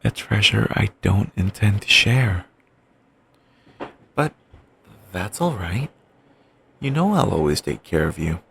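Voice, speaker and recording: A man doing a low gravelly doting boyfriend. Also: big pitch swings, slow, long pauses, slight background noise, full character voice. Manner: soft, rambling, affectionate murmuring. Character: obsessive doting young adult boyfriend. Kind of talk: roleplay audio, bedtime comfort.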